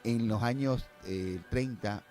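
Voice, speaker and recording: A man's voice: medium pitch, takes it slowly, music in the background. A man talking casually on a podcast as a guest, explaining step by step and often checking in with his listeners. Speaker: guest filmmaker.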